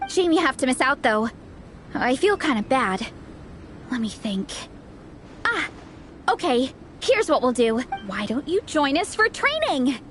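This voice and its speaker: Casting: high, very animated girlish team cheerleader, earnest and bubbly, anime game, light music underneath. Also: a little slow, long pauses, exaggerated voice. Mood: friendly, coaxing. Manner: exclamatory, bubbly. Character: peppy, enthusiastic young female team runner, earnest cheerleader. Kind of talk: anime game character acting.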